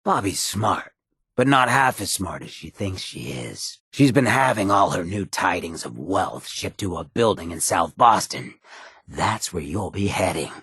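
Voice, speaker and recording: An actor playing a roguish, charismatic ghoul mayor with a gravelly voice and a sly, streetwise drawl, in video game dialogue. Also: male voice, low voice, big pitch swings, measured pace, fairly bright tone, no room sound, studio quality, heavily acted. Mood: quietly menacing. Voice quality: gravelly, raspy. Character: charismatic irradiated ghoul mayor, roguish, swaggering outlaw leader.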